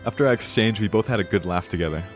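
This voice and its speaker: A man's voice: lowish voice, racing, faint music behind. A man giving casual, foul-mouthed, emotionally invested commentary on a visual novel as he plays it and reads it aloud. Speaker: streamer playing and reading a visual novel. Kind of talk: casual let's play commentary mixed with reading game text aloud.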